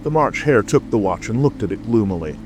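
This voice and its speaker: A man's voice: fairly low pitch, animated, brisk. A man reading scripted story narration as an audiobook narrator. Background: music.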